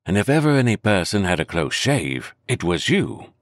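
A man voicing a brisk, steady middle-aged ship's doctor in a storybook reading. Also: low-pitched, dry recording, clean studio sound. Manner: brisk, cheerful bedside manner.

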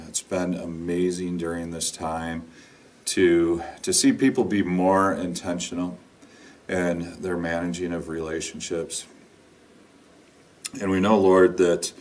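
A middle-aged man, a pastor preaching and praying informally in a halting, deliberate way, recorded indoors at some distance from the microphone. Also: sits low. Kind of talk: informal sermon and prayer. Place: indoor lounge with couch and brick wall.

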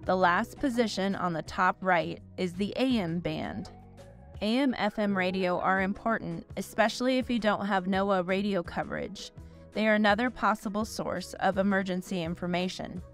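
A woman narrates an instructional demonstration in a clear, steady voice. She explains each step methodically, in a scripted style. Faint music plays quietly behind her. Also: medium pitch, moderate pace.

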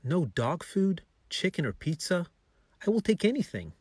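A man's voice: high voice, very animated, moderate pace, slightly rough, no echo, studio quality, voice pushed up, exaggerated voice. A man voicing a loving, loyal, playful pet dog in a children's storybook, polite and earnest. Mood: hungry, pleading.

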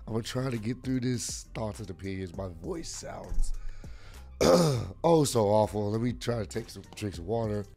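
Hoarse deep-voiced man reviewing wrestling. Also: lively intonation, moderate pace, dark tone, faint music behind. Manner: casual, rambling with frequent fillers. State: hoarse, lost voice. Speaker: wrestling vlogger. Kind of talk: casual wrestling show review. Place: home room with lit shoe shelves. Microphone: desk mic on arm.

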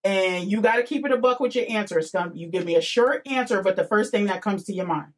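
A woman's voice: mid-range voice, fast talker. A young woman hosting a casual podcast interview in slangy, informal speech on a studio microphone. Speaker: podcast host. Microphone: boom-arm studio microphone with headset.

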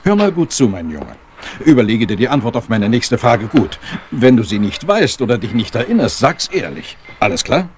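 A man acts as a brusque chief inspector in a retro radio mystery. His voice sits mid-range with lively rises and drops, blunt and official, over faint noise. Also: mid-range voice, moderate pace, lightly acted. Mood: stern, gruff. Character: brusque, businesslike middle-aged male police chief inspector. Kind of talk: German retro crime radio drama.

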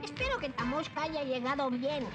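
A man voicing a small, excitable, boastful and bumbling magician creature in a Latin American Spanish cartoon dub. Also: very high-pitched, normal speed, loud music behind the voice. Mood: curious, chatty. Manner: excitable, exclamatory. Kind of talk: animated series dubbing.

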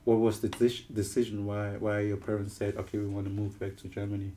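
A man's voice: on the low side, completely flat delivery, quick pace, warm tone, slight background noise. A young man hosting a casual interview, often repeating words and restarting his questions. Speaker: interviewer host. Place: living room studio with armchairs.

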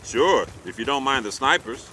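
An actor playing a gruff, commanding American army squad leader in a video game, blunt in manner. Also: male voice, medium pitch, animated, moderate pace, rough recording. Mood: weary command. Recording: loud vehicle and engine noise.

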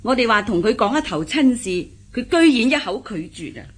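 A woman's voice: fairly high pitch, animated, a little fast, slightly rough. An actress playing an anxious, traditional older wife and mother in a Cantonese radio period drama, complaining worriedly in a Hong Kong accent.

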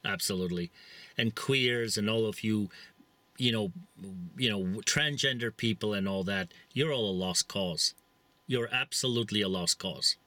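A man's voice: lowish voice, moderate pace, sharp tone, no room sound. A middle-aged man with a Czech accent, talking to camera from inside a parked vehicle in a blunt, rambling, preachy way with many fillers. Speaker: vlogger. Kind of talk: casual monologue to camera. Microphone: camera mounted close in front.

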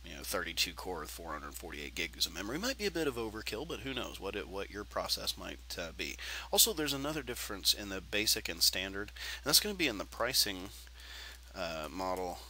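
Nasal, low-voiced man with a stuffy nose. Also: normal speed, a little hiss or hum. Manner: relaxed, rambling with many fillers. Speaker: tech tutorial host. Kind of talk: casual screencast tutorial.

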